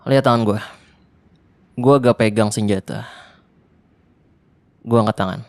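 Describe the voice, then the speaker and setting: Young man, gravelly voice, lowish pitch, dry close sound, casual slangy roleplay talk. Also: measured pace, dry recording. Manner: casual slangy speech, tense and hushed at times. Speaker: voice actor. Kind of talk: ASMR roleplay monologue.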